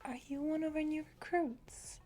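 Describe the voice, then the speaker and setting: A woman's voice: high-pitched, fairly even intonation, moderate pace, dark tone, faint music behind, natural voice. A woman playing a self-important young criminal henchwoman in an ASMR roleplay, whispering breathily and hesitantly close to the microphone. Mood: boastful, coy. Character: boastful, eager young female criminal henchwoman.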